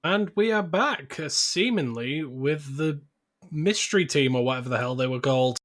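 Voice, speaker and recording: A man's voice: on the high side, animated, moderate pace, a bit bright. A man hosting a podcast, presenting and chatting in a casual style. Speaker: podcast host.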